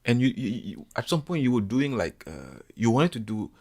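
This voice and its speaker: A man hosting a casual podcast interview, talking in halting bursts with many restarts and some teasing. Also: mid-range voice, sing-song, a little mellow, breathy edge. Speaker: podcast host.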